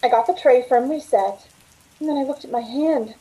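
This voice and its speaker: A woman's voice: high voice, moderate pace, mellow, close to an everyday voice, poor audio. A middle-aged woman playing a weary, wistful 1940s Hollywood carhop who confides her story in old-time radio drama style. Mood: uneasy. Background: rain.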